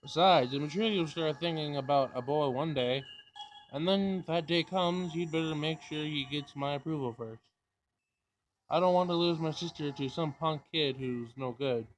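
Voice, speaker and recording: A man reads the part of a young amateur detective in a visual novel, sarcastic and teasing like an older brother. The voice sits slightly high, with a casual read-aloud delivery. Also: moderate pace, slight background noise, natural voice, rough recording. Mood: teasing, protective.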